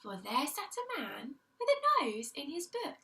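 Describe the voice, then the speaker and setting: A woman reading a children's picture book aloud in an expressive, deliberate storytelling manner, leaning on the rhymes. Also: high voice, gravelly, far from the mic. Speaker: primary school teacher reading aloud.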